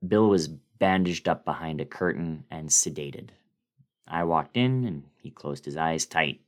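A man narrating a first-person horror story as a dramatic reading, with theatrical, expressive delivery. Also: very deep voice, measured pace.

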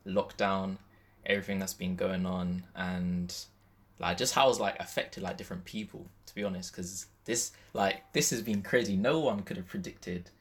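A man's voice: low voice, fairly even intonation, normal speed. A man talks as a guest in an easygoing conversation. His wording is informal and full of everyday slang. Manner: informal, slangy, frequent "like" as filler. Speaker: podcast guest. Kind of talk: casual chat.

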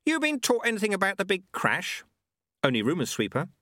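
A man's voice: on the high side, sing-song, normal speed, pushed and bright, no echo, clean studio sound, voice pushed up. A man voicing an old male sweeper monk, blunt and folksy, who mutters and drops his grammar. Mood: confiding.

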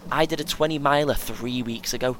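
An actor playing a chatty, self-important young British amateur runner in an audio play, earnest and keen, chuckling often. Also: male voice, a little fast, fairly bright tone, close to an everyday voice. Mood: advising, keen. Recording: faint vehicle noise behind. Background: vehicle rumble, coach ambience.

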